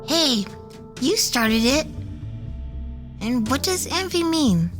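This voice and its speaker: A woman acts out a fearless little girl in a radio drama. Her voice is high and swoops about slowly, impudent and innocent, over faint music. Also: sing-song, fairly bright tone, full character voice. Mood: cheeky.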